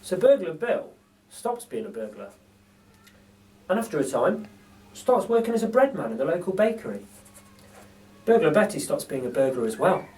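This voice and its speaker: Male storyteller for children's picture book: expressive, highly animated intonation, recorded at a distance. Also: moderate pace, pauses often. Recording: distant microphone.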